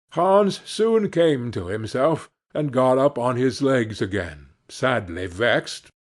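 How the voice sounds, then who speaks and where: A man reading a story as an audiobook narrator, expressive and carefully phrased. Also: medium pitch, vocal fry.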